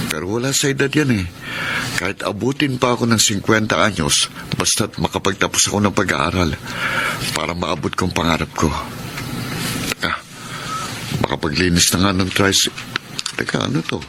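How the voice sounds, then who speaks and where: A man playing a hopeful tricycle driver in his thirties who is also a working student, talking to himself in an earnest, determined way in a radio drama. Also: low voice. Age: 30s. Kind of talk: Tagalog radio drama monologue. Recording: noisy background hiss.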